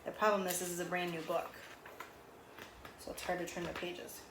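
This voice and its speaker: A woman's voice: on the low side, little pitch movement, normal speed. A woman reading a picture book aloud to children in a chatty way, explaining words and pictures and asking questions along the way. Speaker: read-aloud storyteller. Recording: roomy echo, faint background noise.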